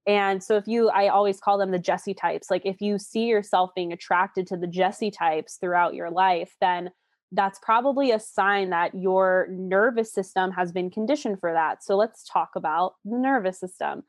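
A woman's voice: medium pitch, steady tone, moderate pace, dry recording, clean studio sound. A woman in her late twenties explaining ideas conversationally as an expert guest, informal, candid and enthusiastic. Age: late 20s.

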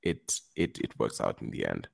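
A man speaking as a podcast guest, giving earnest advice in a halting way, with frequent restarts. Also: extremely low pitch, sing-song, vocal fry, breathy edge, dry recording, studio quality. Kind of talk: casual advice talk.

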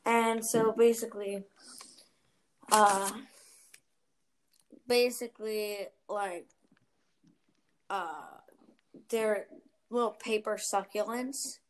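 A woman's voice: medium pitch, steady tone, drawn-out words, pauses often, low-quality recording. A young woman hosting a casual chat podcast, talking chattily with lots of fillers and giggles. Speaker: podcast host.

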